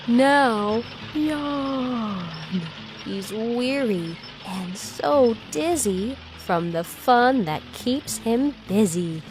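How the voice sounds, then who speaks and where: A woman reading a children's bedtime story aloud, expressively, with gentle, drawn-out phrasing and acted emphasis. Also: a little high. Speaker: storybook reader.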